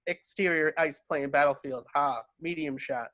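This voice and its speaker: A man's voice: on the high side, moderate pace, fairly bright tone, no room sound. A man reading stage directions as scripted narration, giving scene headings in clipped fragments.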